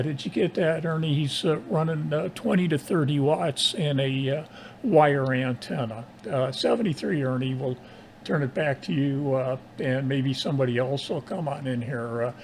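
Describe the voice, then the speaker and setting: Tired, gravelly-voiced man on ham radio. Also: mid-range voice, darkish tone, a little hiss or hum. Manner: halting, pausing often mid-sentence. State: tired, strained voice after nearly losing it. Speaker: amateur radio operator. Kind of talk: casual ham radio conversation.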